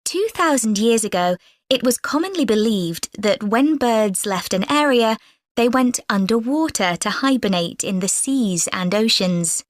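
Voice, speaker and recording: A woman giving a scripted student presentation in clear, measured speech, recorded for a listening exam. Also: on the high side, lively intonation, dry recording. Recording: dry studio voice recording.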